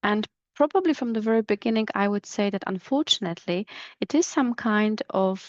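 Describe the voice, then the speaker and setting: A woman's voice: medium pitch, lively intonation, brisk, no room sound, highs cut off. A woman with a Polish accent, a business English trainer explaining a topic on her podcast in a thoughtful way, with many fillers and hedges.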